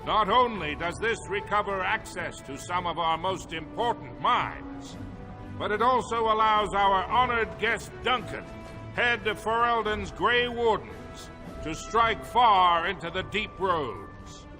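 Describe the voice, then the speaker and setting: A man's voice: high-pitched, expressive, takes it slowly, exaggerated voice, poor audio. An actor playing a proud, aging dwarf king in a fantasy game, with a formal, commanding way of speaking. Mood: proclaiming. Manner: formal, commanding, grand oratory. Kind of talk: fantasy game cutscene acting. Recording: loud background music.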